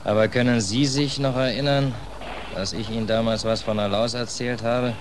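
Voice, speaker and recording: An actor playing a ragged, weary, sardonic ship's stoker in a 1940s radio drama. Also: male voice, mid-range voice, steady tone, normal speed, lightly acted. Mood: weary, wry. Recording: noisy 1940s radio play recording.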